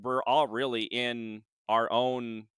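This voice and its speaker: A male podcast host who explains in long lists and talks quickly, in casual chat. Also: medium pitch, level tone, fairly bright tone, smooth, no room sound, clean studio sound.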